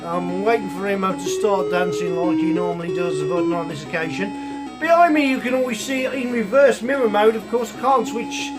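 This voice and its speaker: A man hosting a retro computer show from his hobby room, talking in a chatty, meandering way with lots of ums, his voice croaky. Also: high voice, normal speed, poor audio. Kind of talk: casual rambling review chat. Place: home hobby room with computers and a soldering bench. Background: chiptune music playing from a computer.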